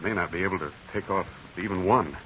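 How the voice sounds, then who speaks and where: A man's voice: low-pitched, fairly bright tone. A man in 1940s radio acting, playing a commanding heroic aviator captain. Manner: commanding, brisk, take-charge. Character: confident heroic adult male aviator captain. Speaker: Captain Midnight. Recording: dull hissy 1940 radio transcription.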